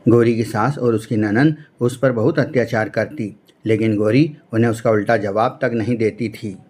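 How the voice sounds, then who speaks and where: A man's voice: lowish voice, fairly even intonation, normal speed, a little mellow. A man narrating a scripted children's-style cartoon story as its storyteller.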